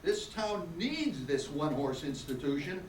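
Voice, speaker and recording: An impassioned young small-town fellow of a radio play, acted by an older man, high-pitched for a man and very animated. He talks in a folksy, emphatic way. The sound is echoey. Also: a little slow. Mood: indignant. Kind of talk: amateur radio play acting. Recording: echoey room, distant mic, faint noise.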